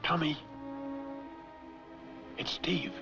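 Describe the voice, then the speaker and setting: A man's voice: extremely slow, sharp tone, soft background music. A man playing an earnest, embittered fugitive in a 1940s film noir, talking in terse, urgent phrases. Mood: urgent, guarded. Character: wrongly accused man on the run, earnest, embittered. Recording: dull, noisy 1940s film soundtrack.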